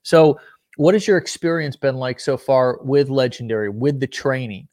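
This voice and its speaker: A man hosting a casual online interview show, upbeat and energetic, often restarting and repeating words. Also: mid-range voice, moderate pace. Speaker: host.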